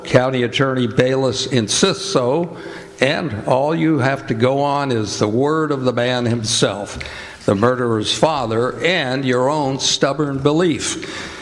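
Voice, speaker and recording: An actor playing a solemn narrator of a 1940s-style radio drama, addressing the hero directly. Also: male voice, medium pitch, close to an everyday voice. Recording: echoey hall with music underneath.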